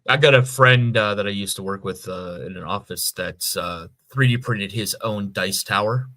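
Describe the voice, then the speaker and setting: A man's voice: lowish voice, normal speed, pushed and bright, dry recording. A man co-hosting a podcast, talking casually and plainly, listing things loosely with plenty of you-knows. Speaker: podcast co-host. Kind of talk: casual chat.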